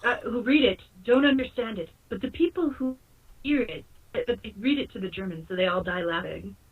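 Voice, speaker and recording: A woman's voice: fairly high pitch, a little fast, breathy edge, recorded from a distance, narrow, tinny audio. A woman in casual banter who jokes and explains things animatedly. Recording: voice from away from the mic.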